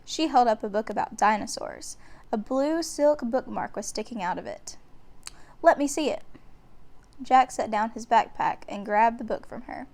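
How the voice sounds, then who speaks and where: A woman reading a children's bedtime story aloud in a deliberate, expressive way. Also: on the high side. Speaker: storybook reader.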